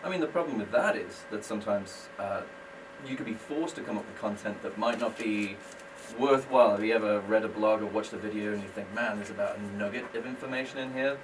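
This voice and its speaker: A British man with a fairly low voice talks through his work as a guest on a radio show, friendly and self-deprecating. He sounds set back from the microphone, with clear background noise under him. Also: brisk, recorded from a distance. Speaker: guest, business owner. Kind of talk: casual radio interview.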